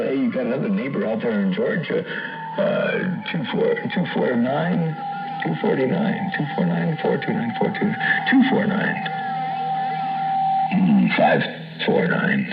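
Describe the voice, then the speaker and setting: A man's voice: medium pitch, sing-song, measured pace, vocal fry, highs cut off, over music. A middle-aged American man chatting in CB radio slang and call signs, with a jokey, folksy manner, over a radio transmission. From US West Coast. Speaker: CB radio operator. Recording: band-limited CB radio sound.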